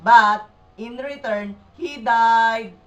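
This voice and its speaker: A woman's voice: on the high side, extremely slow, distant mic, lo-fi sound. A woman playing a nerdy schoolboy who recites textbook answers formally in an amateur puppet show. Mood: studious.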